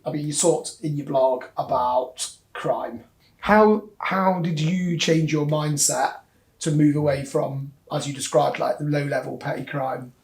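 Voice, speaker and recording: A man's voice: on the high side, fairly even intonation, unhurried, vocal fry, darkish tone. A man hosting an interview, asking questions in a conversational way and sometimes repeating words as he starts. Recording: roomy echo.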